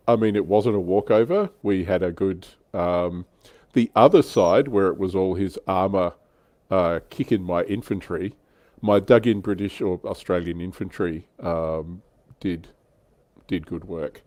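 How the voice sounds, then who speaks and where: Low-voiced man in casual hobby chat. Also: a little mellow, no echo. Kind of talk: casual chat, recounting tabletop wargame battles.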